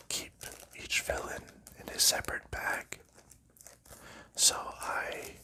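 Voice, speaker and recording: A man whispering softly through an ASMR board game show-and-tell, unhurried and gentle, with frequent fillers. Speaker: ASMR creator.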